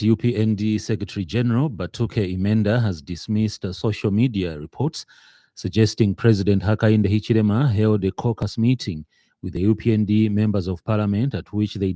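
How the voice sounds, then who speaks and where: Low, mellow Zambian male newsreader. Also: fairly quick, no echo, studio quality. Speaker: radio news reader. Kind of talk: scripted news bulletin reading.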